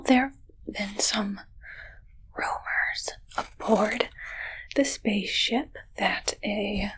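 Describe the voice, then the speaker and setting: A woman in an ASMR roleplay voicing a soft-spoken, awkward alien posing as a ship's doctor, mostly whispering with halting, politely formal delivery. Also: on the high side, slightly rough, full character voice, rough recording. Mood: hushed, formal.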